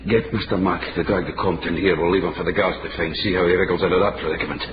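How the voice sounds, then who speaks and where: An actor playing a shrewd, commanding eighteenth-century Scottish investigator in a radio drama, dry, sardonic and authoritative. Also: male voice, deep voice, steady tone, fast, close to an everyday voice. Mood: sardonic, composed. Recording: noisy, dull radio drama recording.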